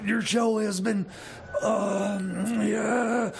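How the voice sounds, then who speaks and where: Comedy radio play: male actor as flustered jail officer, high-pitched, very gravelly, halting and repetitive. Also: flat delivery, slight background noise, exaggerated voice. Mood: flustered, pleading. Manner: hesitant, stammering, repeats words. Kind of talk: improvised comedy radio theater.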